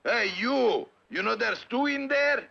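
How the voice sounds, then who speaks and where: A man's voice: extremely high pitch, expressive, measured pace, vocal fry, noisy background, exaggerated voice. A man voicing a cocky, wicked little spy in an old cartoon, with a theatrical mock Eastern European accent and clipped grammar. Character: short scheming villain spy, gleefully wicked and cocky. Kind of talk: 1960s cartoon voice acting. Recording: dull lo-fi vintage cartoon soundtrack.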